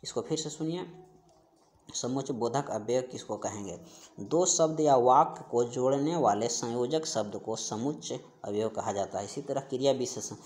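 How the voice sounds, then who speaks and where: A man's voice: mid-range voice, moderate pace, darkish tone. A man teaching an exam-preparation class, going through questions and answers in an instructional, repetitive way. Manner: repetitive, instructional, stressing key terms by repeating them. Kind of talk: explaining quiz questions in an online class.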